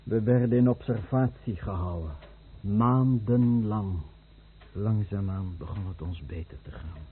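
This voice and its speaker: Actor as deep-voiced bitter fisherman, declaiming starkly. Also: unhurried, close to an everyday voice. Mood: grave testimony. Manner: declamatory, short stark statements. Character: bitter male fisherman, radiation victim of a poor village. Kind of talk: solemn radio drama chorus acting. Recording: muffled noisy old radio play recording.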